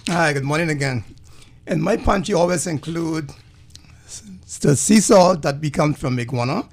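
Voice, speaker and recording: Man, chef guest, gravelly voice, slightly high, plain matter-of-fact manner. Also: moderate pace. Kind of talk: radio interview guest.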